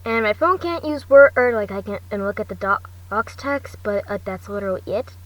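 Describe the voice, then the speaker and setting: A woman's voice: medium pitch, a little fast. A woman narrating fan fiction as an amateur reader, often stumbling over words and restarting. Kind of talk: amateur audiobook narration with chatty asides. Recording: distant home mic, faint noise.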